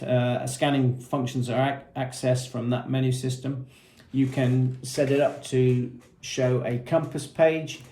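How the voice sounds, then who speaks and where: A man's voice: mid-range voice, dark tone, roomy sound, slight background noise. A man presenting a product demonstration in a methodical, matter-of-fact, explanatory way.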